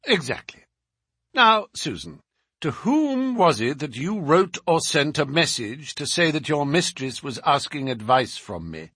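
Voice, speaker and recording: A man's voice: fairly high pitch, sing-song, unhurried, sharp tone, slightly rough, no echo, studio quality, full character voice. A man playing a shrewd detective in an audiobook, teasingly polite and commanding, with precise, probing questions.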